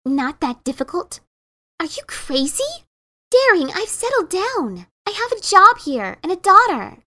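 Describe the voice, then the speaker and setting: A woman's voice: very high-pitched, sing-song, a little slow, sharp tone, heavily acted. A woman voicing a blunt, resentful pegasus pony mother in a fan dramatic reading, scolding and incredulous. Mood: firm, proud.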